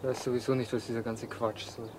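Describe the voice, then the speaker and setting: A man's voice: medium pitch, level tone, fast, close to an everyday voice. A man playing a practical film crew hand in a naturalistic radio drama. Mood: grumbling. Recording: faint outdoor background noise.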